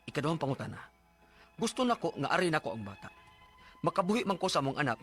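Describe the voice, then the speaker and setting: A man's voice: on the high side, very animated, quick pace, a bit bright, soft background music. A man acting an exasperated, short-tempered young father in a radio drama, with a Cebuano Filipino accent. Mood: aggrieved.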